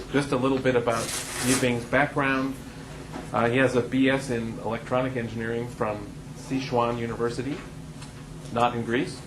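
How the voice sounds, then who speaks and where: Male seminar host, middle-aged, casual introduction with pauses; echoey room, noisy recording. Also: medium pitch. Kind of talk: informal spoken introduction at a seminar. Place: university lecture room, indoors. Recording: roomy, echoey lecture room with clear noise.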